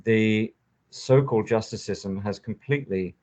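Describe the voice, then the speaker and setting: A man's voice: lowish voice, moderate pace, darkish tone. A man hosting a conversational interview, giving emphatic, impassioned opinions. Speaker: podcast host. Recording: dull lo-fi audio.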